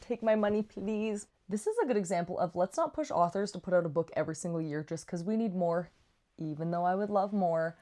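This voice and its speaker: A woman's voice: fairly low pitch, a little fast, mellow. A woman giving a casual, chatty book review with slangy asides and playful, dramatic emphasis. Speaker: book reviewer, vlog host.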